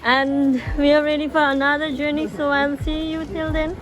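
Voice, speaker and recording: A woman's voice: sits high, flat delivery, moderate pace. A young woman from Sikkim, a native Nepali speaker, chatting casually as she narrates a travel vlog outdoors and in a restaurant. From Sikkim, India. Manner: chatty and easygoing, with many fillers. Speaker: vlogger. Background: background music.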